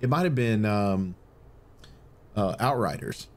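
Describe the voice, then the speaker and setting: A man's voice: on the low side, expressive, slow pace, a little mellow. A man chatting casually and jokingly about video games as an easygoing podcast co-host.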